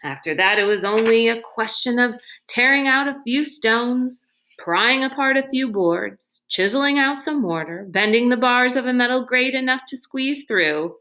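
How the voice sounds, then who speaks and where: A calm and gallant grown man from a children's storybook, read by a woman. He speaks in a measured, understated way, protective and reassuring. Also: a little high, pitched above the performer's own voice, low-quality recording. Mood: matter-of-fact. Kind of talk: children's novel read-aloud.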